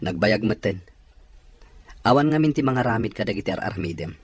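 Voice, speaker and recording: A man's voice: fairly low pitch, expressive, brisk, husky. A man playing a stern, exacting office boss in an audio drama, authoritative and scolding, with a Filipino accent. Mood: grudging. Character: stern, exacting male office boss who grudgingly relents. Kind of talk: Ilocano audio drama acting.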